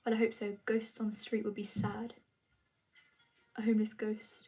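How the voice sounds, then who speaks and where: A woman's voice: medium pitch, monotone, moderate pace, darkish tone, faint music behind. A young woman chatting casually with her viewers on a live stream, rambling with lots of fillers. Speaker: vlogger answering chat.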